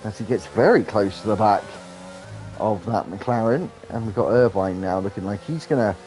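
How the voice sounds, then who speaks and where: A man with a stuffy, blocked nose from hay fever, calling an online sim race as lead commentator with brisk play-by-play that lifts during overtakes. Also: on the low side, a little mellow, soft background music, rough recording.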